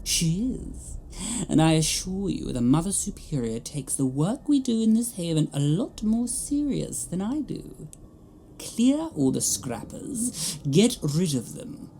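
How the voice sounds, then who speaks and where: An actress playing a stern, sanctimonious alien nun in a sci-fi audio drama, with a commanding manner. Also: female voice, fairly low pitch, sing-song, measured pace, warm tone, a little hiss or hum. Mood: menacing, urgent. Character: stern, pious, domineering alien nun in charge of hospital.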